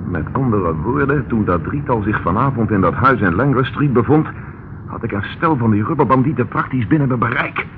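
A man plays a persistent police inspector in an old radio drama, pressing every question. His voice sits at an ordinary pitch. The sound is tinny like a phone line, with a faint hiss. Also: lively intonation, fairly quick, close to an everyday voice. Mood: curious. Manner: firm, insistent questioning.

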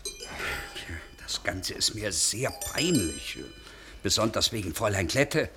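Officious pharmacist for 1950s radio play: forceful, sharp-edged, emphatic, immovable rule follower. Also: male voice, fairly low pitch, lively intonation, takes it slowly, faint music behind, heavily acted. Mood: subdued, embarrassed. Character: rigid, conscientious male pharmacist, stubborn stickler for rules. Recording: vintage 1950s radio recording.

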